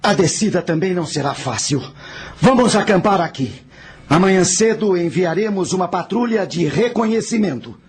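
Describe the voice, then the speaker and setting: A man's voice: sits high, lively intonation, moderate pace, slightly rough. A man playing a stern, resolute general of antiquity in a radio drama, giving orders with authority.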